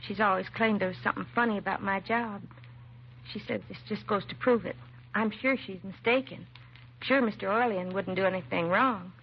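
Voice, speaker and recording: An American actress playing a timid, self-doubting young secretary in a 1950s radio drama, hesitant and apologetic. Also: female voice, medium pitch, a little fast, close to an everyday voice. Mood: timid, uneasy. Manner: hesitant, nervous, apologetic, trailing and restarting. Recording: muffled vintage radio recording, faint hiss.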